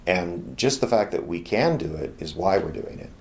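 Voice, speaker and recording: A man's voice: low voice, expressive, normal speed. A middle-aged American man explaining things thoughtfully and conversationally in a casual promotional interview. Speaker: radio theater founder and organizer.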